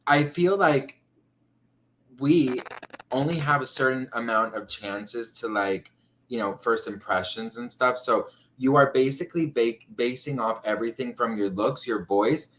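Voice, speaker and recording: A man's voice: mid-range voice, measured pace, fairly bright tone, slight background noise, poor audio. A man hosting a casual livestream chat, effusive and gushing, calling guests honey and girl. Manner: chatty, effusive, calls people honey and girl. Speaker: livestream host.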